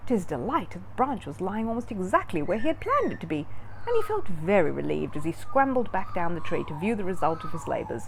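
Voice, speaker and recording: A woman storyteller narrating a children's book in an expressive read-aloud style. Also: fairly low pitch, fairly quick, vocal fry, noisy background.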